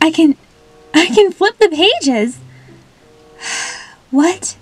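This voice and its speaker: A woman's voice: extremely high pitch, animated, drawn-out words, pitched above the performer's own voice, full character voice. A woman playing a dramatic, sulky young girl with a whiny manner in an amateur doll stop-motion story. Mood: frustrated, alarmed.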